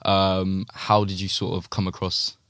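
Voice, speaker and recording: A male podcast host with a very low, even voice, putting his questions in a halting, searching way. He leans on ums and loose phrasing, and his voice is dry and close to the mic. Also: no echo. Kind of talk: casual interview questions.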